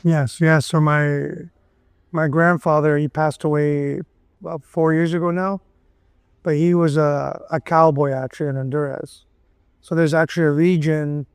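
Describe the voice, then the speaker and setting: A man's voice: on the high side, clear, resonant tone, no room sound. A man in his thirties from Miami, talking loosely as an interview guest in a café, full of "you know"s. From Miami, USA. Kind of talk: casual interview.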